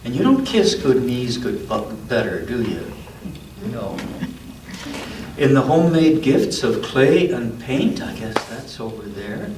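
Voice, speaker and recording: A man reads a storybook to children in an unhurried way, with a very animated pitch and frequent questions about the pictures. He is recorded from far off in an echoey church space, with some background noise. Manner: pauses to ask listeners questions about the pictures. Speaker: storybook reader at a church story time for all ages. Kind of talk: reading a picture book aloud to children, with asides. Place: roomy church interior. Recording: echoey room, distant mic, background noise.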